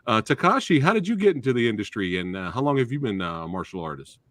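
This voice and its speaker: Give me an enthusiastic man hosting a live interview, with a bright tone. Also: medium pitch, very animated, fast talker, no room sound. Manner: enthusiastic, many short affirmations. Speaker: host.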